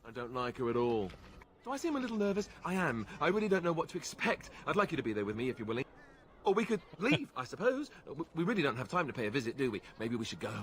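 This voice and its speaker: A man's voice: mid-range voice, big pitch swings, quick pace, a little hiss or hum, lightly acted. A man voicing a nervous, blunt video game character who talks in a hesitant, self-doubting way. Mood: anxious, bitter. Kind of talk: video game dialogue acting.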